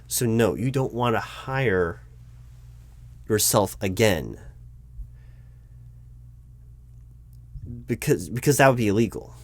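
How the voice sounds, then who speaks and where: A man playing a flustered human resources manager in an audio roleplay, explaining insistently to his boss in a halting, repetitive way. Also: fairly low pitch, animated. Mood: exasperated. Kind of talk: one-sided improvised audio roleplay, loud spoken.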